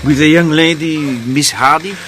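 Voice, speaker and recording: A man's voice: fairly high pitch, steady tone, normal speed, fairly bright tone, faint music behind, close to an everyday voice, poor audio. A man playing a proud, exasperated hotel chef with a French accent in a radio drama. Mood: defensive. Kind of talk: detective radio drama acting.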